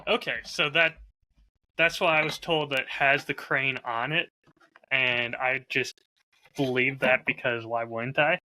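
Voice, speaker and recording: A man's voice: medium pitch, steady tone, measured pace, pushed and bright, rough recording. A man talking casually as a host, showing off a bottle while recording on a close lav mic. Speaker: podcast host and whiskey audio drama creator.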